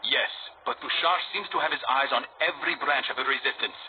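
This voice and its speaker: A man playing a calm, stern, guarded assassin hero in a video game, speaking in measured, terse lines. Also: medium pitch, close to an everyday voice. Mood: stern, urgent. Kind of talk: video game character acting. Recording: tinny band-limited game audio, noisy.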